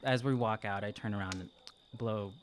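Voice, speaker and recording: A man's voice: fairly low pitch, normal speed, a little hiss or hum. A man playing a tabletop game, improvising in the first person, earnest and hesitant as he thinks aloud.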